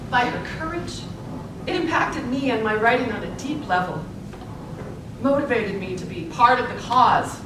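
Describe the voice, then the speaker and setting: A woman's voice: lively intonation, moderate pace, natural voice. A woman playing an earnest, eager newspaper reporter in a live stage play, recorded in an echoey room. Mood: reflective. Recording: echoey noisy room, distant mic, low rumble.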